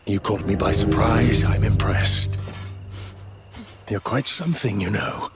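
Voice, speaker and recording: An actor playing an arrogant, sadistic, volatile warlord in a video game, with a taunting, theatrical delivery. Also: male voice, lowish voice, unhurried. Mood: amused menace. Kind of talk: video game cutscene acting. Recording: very loud music behind the voice.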